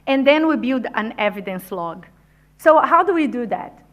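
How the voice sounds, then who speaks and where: Woman, non-native English speaker, fairly high voice, conference talk, encouraging, speaks straight to the crowd. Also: normal speed, lo-fi sound. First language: not English. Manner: energetic, encouraging, addresses audience directly. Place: conference venue.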